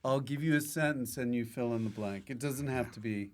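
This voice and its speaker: A man's voice: mid-range voice, a little fast. A man hosting a casual comedy podcast, encouraging and easygoing as he chats. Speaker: podcast host. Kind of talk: casual comic chat.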